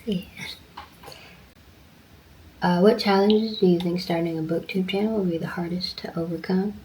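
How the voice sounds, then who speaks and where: A young woman with a Southern drawl talks casually to her viewers, her voice sitting low. She stutters and restarts often, with plenty of ums, and sometimes sinks to a near whisper. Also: fairly even intonation, a little mellow, slight background noise, rough recording. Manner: stuttering, halting, many fillers and restarts. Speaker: booktuber. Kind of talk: casual vlog chat.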